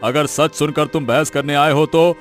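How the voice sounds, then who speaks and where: A man's voice: fairly high pitch, steady tone, moderate pace, close to an everyday voice. A man voicing a smug, bullying village businessman in a cartoon moral story, self-important and condescending. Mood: threatening. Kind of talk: animated moral story dialogue in Hindi. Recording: music behind the voice.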